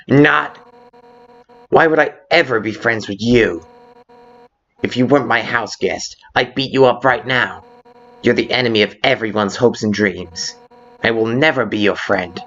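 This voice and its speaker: Game fan dub: brash female fish-warrior played by a man, emphatic, sarcastic, slower delivery, dull lo-fi sound. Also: mid-range voice, fairly bright tone. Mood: mock sweet, then hostile. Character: fierce, brash female fish-warrior monster, grudgingly hospitable. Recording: muffled lo-fi audio with background music.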